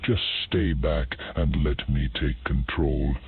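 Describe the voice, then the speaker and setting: A cold humanoid villain acted by a man with an extremely deep voice and slow delivery. He talks down to his listeners in a threatening way, through a muffled, lo-fi recording. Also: steady tone, slightly rough, full character voice. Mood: cold menace. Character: menacing male humanoid tyrant, cold and condescending. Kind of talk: scripted villain monologue in an emergency alert horror video. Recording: muffled, lo-fi, narrow band.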